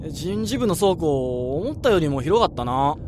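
A man's voice: on the high side, sing-song, normal speed, noisy background. A man acting in a radio drama as a reckless, stubbornly confident coworker with a breezy, insistent, blunt way of talking. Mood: eager.

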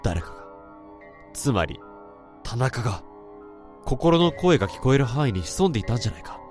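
A man's voice: mid-range voice, big pitch swings, moderate pace, faint music behind, natural voice. An actor playing a tense office worker who narrates his own inner thoughts in a radio drama. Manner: tense, brooding, rising to urgent shouts. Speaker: narrator and protagonist.

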